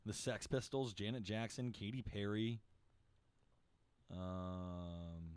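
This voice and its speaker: A man hosting a casual podcast chat, excitable and swearing freely. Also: fairly low pitch, slow pace, smooth, no room sound. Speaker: podcast host.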